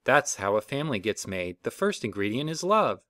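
A man's voice: brisk, no echo, studio quality. A man reading a children's picture book aloud in a playful storybook manner. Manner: lively storybook reading with playful pitch jumps.

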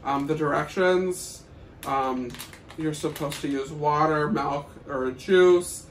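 A young man chats casually as a vlog co-host, with playful, colloquial phrasing. His voice sits a little high. The sound is roomy and slightly distant, with faint noise. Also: slow pace. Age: young adult. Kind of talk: casual grocery haul chat to camera. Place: small kitchen at home, indoors. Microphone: camera a few feet away.

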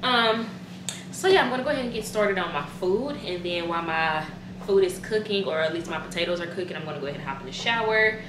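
A young woman with a Southern American accent vlogging casually from her apartment kitchen, chatty and excitable. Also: on the low side, quick pace. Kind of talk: casual vlog chat. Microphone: camera at a distance. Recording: echoey room, clear background noise.

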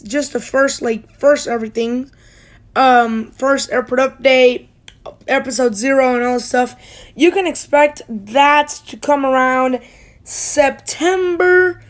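High-voiced school-age youngster, rambling. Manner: rambling, self-correcting. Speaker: hobby channel host. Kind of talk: casual vlog voice-over.

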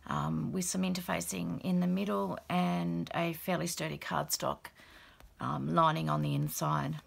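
Croaky, gravelly woman showing a handmade journal. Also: low voice, a little slow. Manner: relaxed, many "um" fillers, soft and chatty. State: croaky after the flu. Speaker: craft channel host. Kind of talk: casual show-and-tell of a handmade journal. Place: indoors at a craft table. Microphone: overhead camera, hands only.

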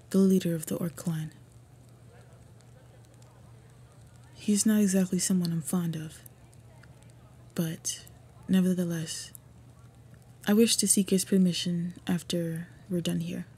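A woman playing an earnest, devoted half-orc woman in a fantasy roleplay audio, speaking formally and softly with a breathy voice. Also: fairly low pitch, heavily acted. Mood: resolute.

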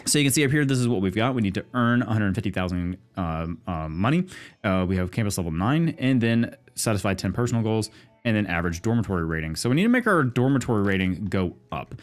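A man's voice: lowish voice, big pitch swings, fast, faint music behind. A man with a stuffy, congested voice from a cold, casually commenting while playing a game and thinking aloud with many fillers. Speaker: gaming video host. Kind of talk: casual let's-play commentary.

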